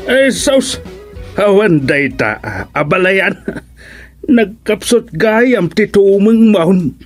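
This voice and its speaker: Older Ilocano in-law male actor, radio comedy serial: teasing, exclamatory, very unhurried drawl. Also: sits high, animated, soft background music, heavily acted.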